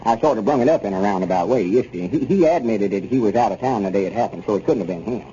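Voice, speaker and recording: An actor playing a folksy, gullible, self-important country storekeeper with a rural Southern American accent, rambling and muddling homespun sayings in a 1930s radio comedy. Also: male voice, lowish voice, animated, rapid-fire, dark tone, close to an everyday voice. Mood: sheepish, amused. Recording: muffled 1930s radio recording, faint noise.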